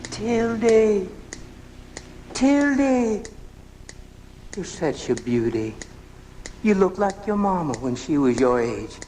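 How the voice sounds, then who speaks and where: An actor playing a gentle, resigned older father, tender and weary, in a live radio drama. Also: high voice, sing-song, slow pace, pauses often, full character voice. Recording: noisy old off-air radio recording.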